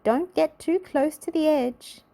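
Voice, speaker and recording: A cheerful little cartoon miss, acted by a woman reading a storybook, high and excitable. She calls out her lines eagerly, always keen for fun. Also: a little slow, creaky. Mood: calling out. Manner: exclaiming, bright and eager.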